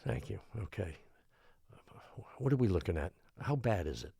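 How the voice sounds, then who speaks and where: A man playing an exasperated, forceful small-town mayor in an audio drama, speaking bluntly and officially. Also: deep voice, very animated, moderate pace, creaky, breathy edge, no echo, studio quality, exaggerated voice. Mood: urgent, impatient. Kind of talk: dramatic podcast acting.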